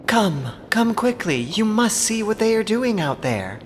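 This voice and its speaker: A man's voice: high-pitched, big pitch swings, measured pace, pitched above the performer's own voice. A man voicing an urgent, solemn goddess disguised as a young princess in an audio drama. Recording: long reverberant hall, faint ambient noise.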